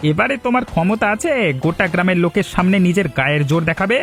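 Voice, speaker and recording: An actor voicing a stern older village uncle in a cartoon, scolding and rallying people in a quick, forceful way. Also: male voice, high-pitched, expressive, soft background music. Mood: determined. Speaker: village elder. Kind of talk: cartoon voice acting.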